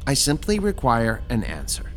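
An actor playing a calm, demented captor in a radio horror drama, speaking with polite, measured menace. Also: male voice, mid-range voice, soft background music. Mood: coldly polite.

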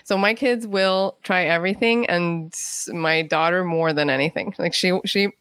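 A woman's voice: on the low side, normal speed, a bit bright, dry recording. A middle-aged woman, a writer being interviewed, telling anecdotes in casual chat. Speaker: guest playwright and writer.

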